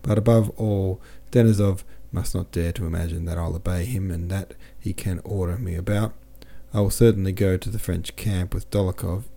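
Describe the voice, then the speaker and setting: Man reading an eager teenage count, husky. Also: low-pitched, steady tone, normal speed, hardly any pauses, mellow. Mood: earnest, defiant. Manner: insistent, earnest. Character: eager, shy, defiant sixteen-year-old young count. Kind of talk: storybook character reading.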